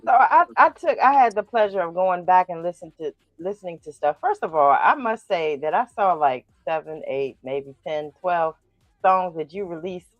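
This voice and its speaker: A woman's voice: on the low side, animated, moderate pace, no room sound, dull audio, soft background music. A woman hosting a radio interview, asking questions with emphatic enthusiasm. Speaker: radio show host.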